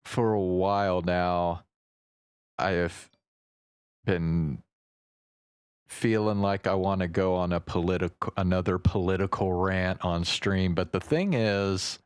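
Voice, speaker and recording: A man with a deep, clean voice chats casually on a livestream. He talks slowly and haltingly, rambling with offhand swearing. The sound is close and dry, with soft music behind him. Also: clean tone, no echo. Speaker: live streamer.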